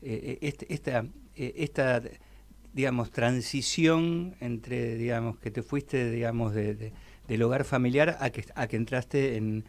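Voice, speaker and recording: A radio host with a voice of ordinary middle pitch. He circles his questions, stumbling and repeating small words before landing on the point. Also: fairly even intonation, measured pace. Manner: stammering, repeats words while forming questions. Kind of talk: radio interview.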